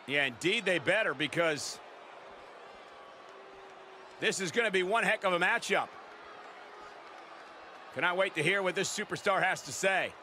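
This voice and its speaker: A man playing an excitable, emphatic wrestling play-by-play announcer in a video game, projecting his commentary. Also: high-pitched, measured pace, long pauses, exaggerated voice. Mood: eager. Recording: loud arena crowd noise behind voice. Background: arena crowd and ring thuds.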